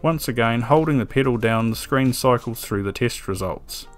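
A man giving a calm, methodical scripted voice-over for an electronics build tutorial, with a dry sense of humor. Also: mid-range voice, moderate pace. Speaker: electronics DIY video maker. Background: soft background music.

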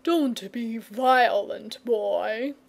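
A woman voicing a lazy, gentle old male dragon who drawls his words slowly and mildly. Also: a little high, creaky, exaggerated voice. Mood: languid. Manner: slow, drawling, mild.

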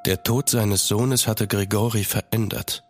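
An actor in a German horror radio play as a sceptical gentleman traveller, measured and grave. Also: on the low side, fairly even intonation, soft background music, close to an everyday voice. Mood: grave narration. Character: sceptical gentleman traveller recounting a dark tale. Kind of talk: scripted first-person radio drama narration.

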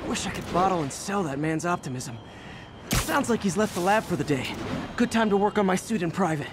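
A man's voice: high-pitched, animated, brisk, loud music behind the voice. A man with an American accent playing a young, eager, nervy masked superhero in a video game, quippy and mock-dramatic. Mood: musing. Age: young adult. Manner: quippy, mock-dramatic, stammers when flustered.

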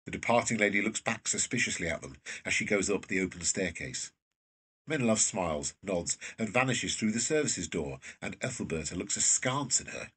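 Man, scripted narration, low husky voice, animated delivery, slightly distant mic. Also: moderate pace. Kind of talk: scripted narration of stage directions.

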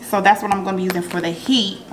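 A woman's voice: mid-range voice, fast talker. A woman talks through a recipe in her home kitchen, speaking loosely and directly to her viewers. Her voice sits mid-range, with plenty of ums and easy asides. The camera mic near the counter picks up a faint hum from the room. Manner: chatty, relaxed, many ums, talks straight to viewers. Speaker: cooking vlogger. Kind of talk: casual cooking tutorial.

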